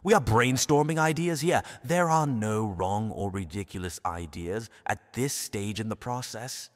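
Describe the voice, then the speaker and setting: A man's voice: on the low side, unhurried, close to an everyday voice. A man playing a pompous, authoritarian world president in an audio drama. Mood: placating. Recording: echoey hall, faint music behind.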